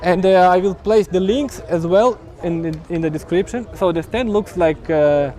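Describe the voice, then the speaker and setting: A man's voice: high-pitched, moderate pace, warm tone. A man hosting an on-site interview at an outdoor event, hesitant and full of fillers and restarts. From Ukraine. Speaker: YouTube host interviewing at an event.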